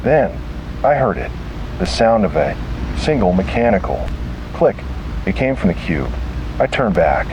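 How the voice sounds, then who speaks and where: A man's voice: lowish voice, sing-song, measured pace, long pauses, background noise. A man narrating a scripted first-person detective horror story.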